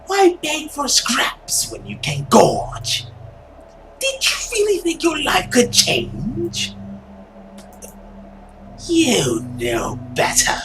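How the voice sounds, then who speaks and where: A man voicing a taunting, menacing dream monster from a visual novel, in slow, drawn-out, theatrical speech over music. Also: very high voice, wildly swooping pitch, fairly bright tone, slight background noise, voice pushed up, exaggerated voice, poor audio. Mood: mocking. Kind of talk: visual novel character voice acting.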